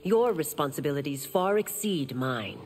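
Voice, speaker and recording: Low-voiced, dutiful constable. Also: female voice, lively intonation, normal speed. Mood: troubled. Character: earnest, dutiful female constable, burdened by duty. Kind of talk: video game voice acting. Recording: hall reverb, loud background noise.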